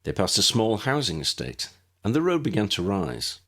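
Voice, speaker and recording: Guy reading an audiobook, deep voice, kinda husky, moves around a lot in pitch. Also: big pitch swings, moderate pace, fairly bright tone. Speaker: narrator, audiobook reader. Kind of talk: scripted story narration.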